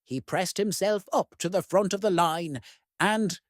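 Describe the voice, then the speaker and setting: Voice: medium pitch, measured pace, dry recording, clean studio sound. A storyteller reading a children's picture book aloud expressively, addressing young listeners. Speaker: read-aloud host.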